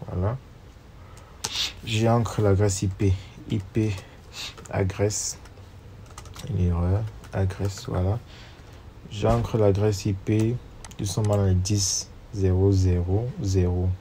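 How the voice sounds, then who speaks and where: A man's voice: lowish voice, little pitch movement, slow, pauses often, warm tone, a little hiss or hum. A man with a cold narrating a step-by-step screen tutorial, reading each command and number aloud as he types. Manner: steps through commands aloud, spelling out numbers. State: has a cold. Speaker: networking instructor. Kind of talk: screen-recorded tutorial walkthrough.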